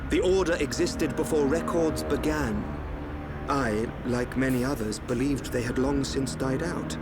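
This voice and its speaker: An actor playing a calm, enigmatic young royal seer in a fantasy game drama, speaking formally and with measured composure. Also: male voice, mid-range voice, loud music behind the voice, natural voice. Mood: calm gravity.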